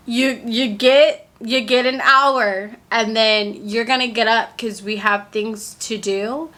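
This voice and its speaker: Bright-voiced young woman, chatty and candid. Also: a little high, slow pace, pauses often. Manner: chatty, candid, many fillers like "like". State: slightly congested from a cold. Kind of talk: casual heart-to-heart vlog. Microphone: camera on a stand.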